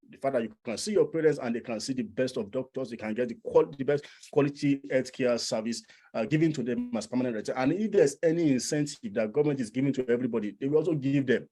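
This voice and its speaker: A man's voice: medium pitch, fast talker, hardly any pauses, mellow. Nigerian man giving an informal explanatory talk. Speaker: immigration coach and presenter. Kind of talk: informal explanatory presentation to an audience.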